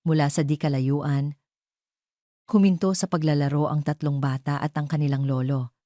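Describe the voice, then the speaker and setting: Low-voiced woman narrating a romance story. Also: steady tone, moderate pace, warm tone, no room sound, clean studio sound.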